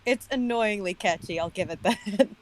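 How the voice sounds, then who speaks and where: A woman's voice: mid-range voice, moderate pace, no echo. A woman giving casual, wry and critical commentary as a guest on an online call.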